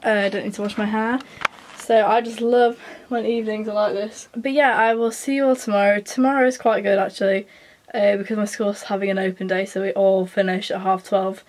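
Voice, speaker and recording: A woman's voice: medium pitch, flat delivery, fairly quick. A teenage woman with a Yorkshire accent, chatting casually to a phone at arm's length in her bedroom about her day. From England. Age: teens. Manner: chatty, soft-spoken, filler-heavy. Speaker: vlogger. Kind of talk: casual vlog narration. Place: bedroom at home, indoors. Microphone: phone held at arm's length.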